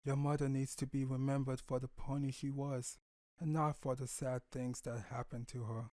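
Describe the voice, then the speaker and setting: Earnest pony dad voiced by a man, tender and emotional, mid-range pitch, storybook drama. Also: little pitch movement, moderate pace, mellow, dry recording, close to an everyday voice. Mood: somber, fond. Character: tender grieving pony stallion, a young father.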